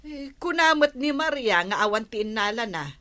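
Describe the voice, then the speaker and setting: A woman's voice: a little high, lively intonation, normal speed, pushed and bright. A woman playing a questioning village woman who mediates neighbours' quarrels, with an Ilocano accent, in a radio comedy-drama.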